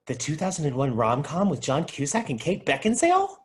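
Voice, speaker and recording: A man's voice: on the high side, wildly swooping pitch, brisk. Goofy, chatty male video store clerk, acted. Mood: animated, dramatic. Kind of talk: table read of a comedy TV pilot.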